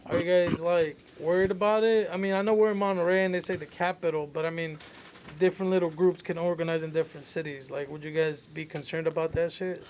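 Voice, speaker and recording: A man hosting a loose podcast conversation, his voice sitting a little high for a man. He talks in blunt, profane slang and keeps putting questions to the group, with faint room sound behind him. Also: fairly even intonation, fast talker, darkish tone, a little hiss or hum, poor audio. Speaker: podcast host. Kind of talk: casual group chat.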